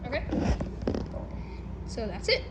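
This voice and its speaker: A woman casually narrating an unboxing and setup in a halting way, muttering short phrases to herself. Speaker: unboxing video host. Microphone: handheld camera or phone, no tripod. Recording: noisy handheld home video recording.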